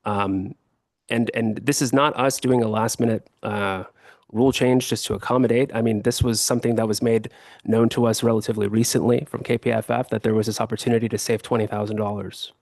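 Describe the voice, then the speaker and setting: A hoarse man serving as city attorney, explaining a staff report at a council meeting with frequent fillers and restarts. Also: fairly low pitch, fairly even intonation, a little fast, a little mellow, dry recording.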